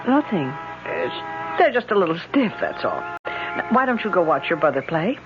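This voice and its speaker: A woman's voice: fairly low pitch, big pitch swings, moderate pace, music in the background. A woman playing a glum, refined, middle-aged wealthy lady in a radio drama, reserved and hesitant. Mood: guarded, uneasy. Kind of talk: 1970s radio drama acting. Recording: muffled old radio broadcast, slight noise.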